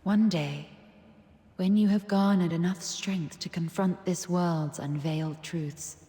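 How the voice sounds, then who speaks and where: An actress voicing a serene, wise woman guide in a video game, speaking in a gentle, measured, philosophical manner. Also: on the low side, steady tone. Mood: solemn, mystical. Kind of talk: solemn video game dramatic monologue. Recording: echoey long reverb, background music.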